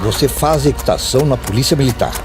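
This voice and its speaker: A man's voice: mid-range voice, fast talker, lightly acted. A man playing a stern, scolding mounted policeman in a radio drama, with a Brazilian accent. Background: music and horse neighs.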